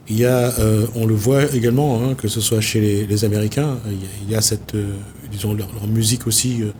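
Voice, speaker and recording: A man's voice: fairly low pitch, a little mellow, slight background noise. A man hosting a TV talk show, musing at length and searching for his words. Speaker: TV show host. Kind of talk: reflective studio talk. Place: TV studio.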